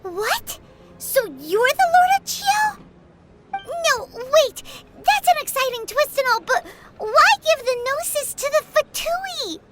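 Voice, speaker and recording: Squeaky, very high fairy girl. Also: very animated, slow, heavily acted. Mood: shocked, indignant. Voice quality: squeaky, childlike. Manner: exclaiming, refers to herself by name. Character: tiny excitable childlike fairy companion girl, indignant and loyal. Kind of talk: video game character dialogue. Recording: game music behind the voice.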